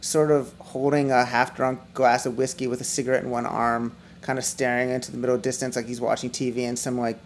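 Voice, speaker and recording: Middle-aged man with a gravelly edge. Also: level tone, fairly quick, hardly any pauses, a little hiss or hum. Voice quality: self-described high, whiny voice. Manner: rambling, many restarts and fillers, laughs often. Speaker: musician guest. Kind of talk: casual podcast conversation.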